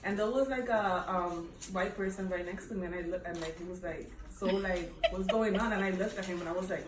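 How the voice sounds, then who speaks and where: A woman's voice: on the low side, brisk. A woman from the Dominican Republic with a Dominican Spanish accent, chatting at a book club, rambling with many fillers and frequent laughs. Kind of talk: casual book discussion. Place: bookshop event room. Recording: echoey room, distant mic, background noise.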